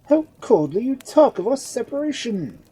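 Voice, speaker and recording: Woman reader as an impetuous young nobleman, loud and pleading, storybook. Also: low-pitched, sing-song, normal speed, slightly rough, pitched above the performer's own voice, exaggerated voice. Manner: pleading, exclamatory. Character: passionate young male lord, impetuous suitor. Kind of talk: storybook reading.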